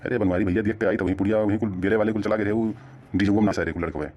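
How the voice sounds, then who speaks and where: Hurried Awadhi cartoon errand man, voiced by a man: very low, extremely fast, rustic, on a dull recording. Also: steady tone, a little mellow, lightly acted. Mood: excusing. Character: hurried, excuse-making village errand man in comic cartoon. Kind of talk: comic cartoon dialogue. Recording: dull, band-limited sound.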